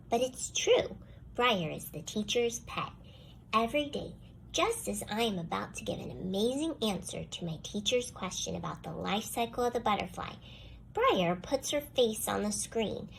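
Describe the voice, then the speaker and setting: A woman plays a young schoolgirl narrating her own storybook tale about school grievances. Her delivery is expressive and put-upon, with very lively swings in pitch. There is some noise behind the voice. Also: moderate pace, gravelly, rough recording. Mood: indignant. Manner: expressive, complaining first-person storytelling. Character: jealous young schoolgirl, grudgingly softening toward the dog. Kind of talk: scripted children's storybook read-aloud.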